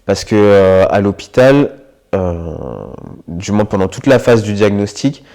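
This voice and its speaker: A young man in his twenties recounts his own experience in an interview. His voice sits slightly low with a warm, mellow tone, and he speaks reflectively, pausing often to find his words. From Paris region, France. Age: 20s. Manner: reflective, hesitant, frequent filler pauses. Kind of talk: personal testimony interview.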